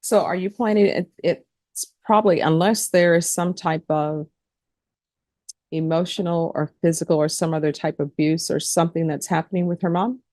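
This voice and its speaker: Lady judge with a lowish voice doing formal court questions, dry close sound. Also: steady tone, normal speed, darkish tone, no room sound. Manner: procedural, spells out names. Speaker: judge presiding over a court hearing.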